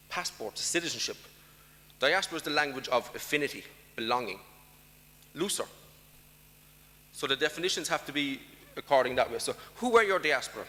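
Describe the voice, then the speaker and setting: A man's voice: fairly high pitch, sharp tone, big-room sound. A man with an Irish accent giving an emphatic conference talk, putting rhetorical questions to the audience. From Ireland. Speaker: conference speaker. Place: large conference hall.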